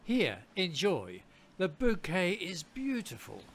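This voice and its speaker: Radio play: meek greengrocer husband, acted by a man, high voice with lively swings, mild and hesitant. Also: slow, creaky, slight background noise, full character voice. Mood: gentle, protesting.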